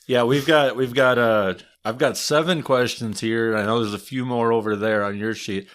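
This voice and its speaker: A man's voice: medium pitch, steady tone, normal speed, fairly bright tone, smooth. A man with a Wisconsin accent, hosting a podcast in casual chat, thoughtful and a bit hesitant, with frequent restarts. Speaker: podcast host and historian.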